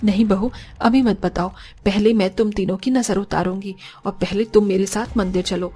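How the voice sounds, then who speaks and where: A woman's voice: mid-range voice, fairly even intonation, normal speed, warm tone, husky, close to an everyday voice. A woman playing a devout, protective elderly mother-in-law in a cartoon family story, speaking earnestly and soothingly. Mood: joyful, devout.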